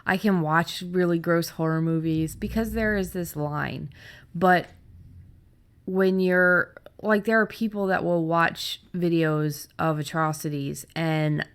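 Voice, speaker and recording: A woman's voice: lowish voice, steady tone, slow, clear, resonant tone. An American woman hosting a podcast in casual conversation, talking informally and feeling her way through her thoughts. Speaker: podcast host.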